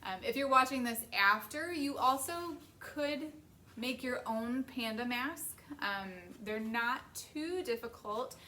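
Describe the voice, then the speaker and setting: High, lively woman hosting children's story time. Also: slow, a little hiss or hum. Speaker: library story time host. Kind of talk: children's picture-book read-aloud and casual talk to viewers.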